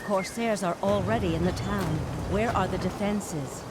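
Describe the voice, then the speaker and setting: An actress playing a seasoned, composed female commander in a fantasy game, speaking in a formal, measured, authoritative way. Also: mid-range voice, heavy background noise, lightly acted. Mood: commanding. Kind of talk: scripted fantasy game acting.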